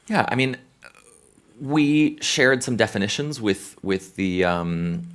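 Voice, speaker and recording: American male consultant, very low voice, thoughtful panel talk with restarts. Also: animated, takes it slowly, fairly bright tone. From United States. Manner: thoughtful, hesitant restarts, conversational. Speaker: behavioral science consultant.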